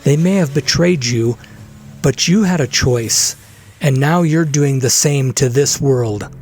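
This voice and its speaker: A man voicing a brave young village hero, steady and earnest, in a fantasy audio drama. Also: mid-range voice, a little slow, voice pushed up, lightly acted. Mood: steady resolve. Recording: loud dramatic music behind.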